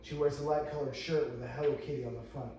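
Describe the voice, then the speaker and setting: A man's voice: mid-range voice, steady tone, fast talker, mellow. A man reading screenplay stage directions aloud as narrator at a table read, stumbling and repeating phrases. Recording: echoey room, far from mic, faint noise.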